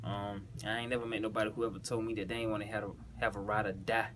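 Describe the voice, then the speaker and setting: Low-voiced Southern man over background noise. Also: level tone, quick pace, fairly bright tone. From South Carolina, US. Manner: slangy, repeatedly checking the listener follows. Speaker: battle rapper breaking down their own bars. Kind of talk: casual explanatory chat with recited rap bars.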